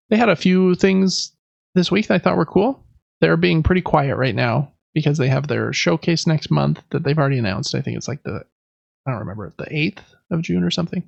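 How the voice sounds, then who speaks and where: A man's voice: a little high, creaky, highs cut off. A man hosting a podcast, giving casual news commentary in an informal, conversational way. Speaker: podcast host.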